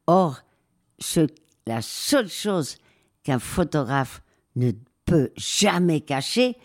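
Slow, hesitant older woman. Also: deep voice, sing-song. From raised in Spain. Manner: slow, hesitant, many pauses and fillers. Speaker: interview guest, war photographer. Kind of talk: reflective interview answers.

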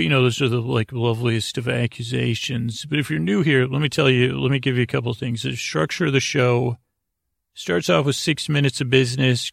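A man with a creaky voice hosting a bedtime podcast, rambling along in a meandering, halting way and interrupting himself. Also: mid-range voice, a bit bright, dry recording, studio quality. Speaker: podcast host.

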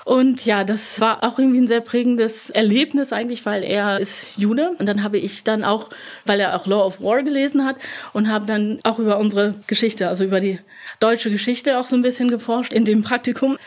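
Interview guest: young woman, relaxed and chatty, full of fillers and quick laughs. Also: on the high side. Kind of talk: casual conversational interview.

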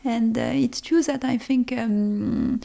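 A woman's voice: fairly high pitch, steady tone, slow pace, creaky, mellow. A woman with a French accent, a native French speaker, answering interview questions conversationally and discursively, searching for words in English. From France, born in Lebanon. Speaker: brand co-founder, interview guest.

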